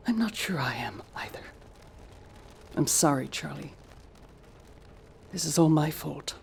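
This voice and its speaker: A woman's voice: low voice, animated, unhurried, creaky, darkish tone, a little hiss or hum, heavily acted. An actress playing a proud, guilt-ridden female space mission commander with a clipped, formal manner in an audio drama. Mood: subdued, sorry. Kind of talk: naturalistic audio drama acting.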